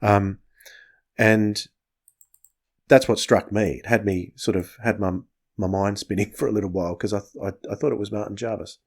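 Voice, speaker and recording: A man's voice: fairly low pitch, steady tone, moderate pace, vocal fry. A man hosting a podcast, chatting casually as he reviews. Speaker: podcast host.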